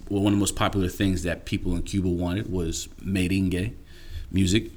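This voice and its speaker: A man's voice: low-pitched, level tone, brisk, a little mellow. A man explaining things in a casual, earnest, informal way, often checking in with the listener. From Haitian and Dominican background. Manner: earnest, informal, checks in with "right?" and "you know". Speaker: interview guest.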